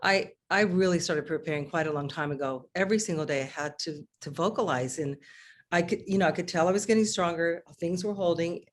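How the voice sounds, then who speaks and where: A middle-aged woman, a singer, talking casually on a video call from her living room, chatty and animated with frequent restarts. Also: fairly low pitch, a little fast. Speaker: singer and guest.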